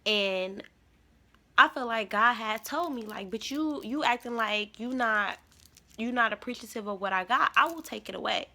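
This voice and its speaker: A woman's voice: on the high side, moderate pace, pushed and bright. A woman in her twenties giving an emphatic, slangy spiritual testimony to her viewers. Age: 20s. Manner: emphatic, repetitive, slangy storytelling. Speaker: spiritual vlogger.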